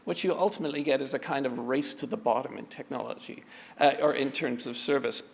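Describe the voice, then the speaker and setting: A man giving a conference talk on policy, halting and often repeating words as he restarts. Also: medium pitch, vocal fry. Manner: halting, many repetitions and restarts. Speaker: conference panelist on spectrum policy. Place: large conference hall. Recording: reverberant conference hall.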